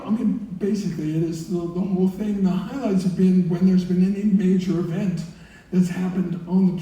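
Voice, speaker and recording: High pitched guy, really muffled mellow tone, keen interview guest, big echo. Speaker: guest, listener group founder. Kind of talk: live interview answers. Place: heard through theatre speakers by live link. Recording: very echoey hall, far from mic.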